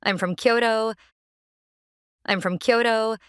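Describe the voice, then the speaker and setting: A woman reading everyday English phrases clearly, each one twice, for learners. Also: a little high, slow, no room sound, clean studio sound. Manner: clear, careful, even delivery. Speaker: phrase reader.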